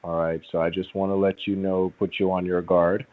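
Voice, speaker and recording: A man on a phone call giving a persuasive pitch as an agent, formally polite but halting and repeating himself. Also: level tone. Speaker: phone caller posing as a prize agent. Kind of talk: persuasive phone sales talk. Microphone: telephone. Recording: muffled, band-limited phone line.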